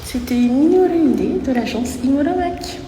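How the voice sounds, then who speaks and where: A woman chatting casually to her vlog audience, telling everyday stories in an animated, chatty way. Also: sits high, unhurried, noisy background. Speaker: vlogger and real estate agent. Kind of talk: casual vlog chat and storytelling.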